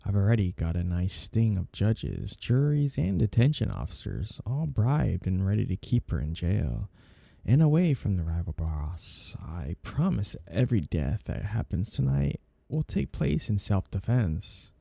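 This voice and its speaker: A man playing a flirty, coolly threatening mafia boss in an intimate ASMR roleplay, with a low gravelly voice. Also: sing-song, unhurried, mellow, dry recording, exaggerated voice. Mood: calm, scheming. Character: flirty, menacing male mafia boss, smooth and sly.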